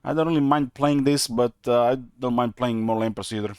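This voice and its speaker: A young man commenting on his strategy game play in a halting way, with many fillers and self-corrections, while losing his voice. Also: mid-range voice, fairly even intonation, darkish tone, clear, resonant tone, no room sound. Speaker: game streamer. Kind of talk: casual gameplay commentary.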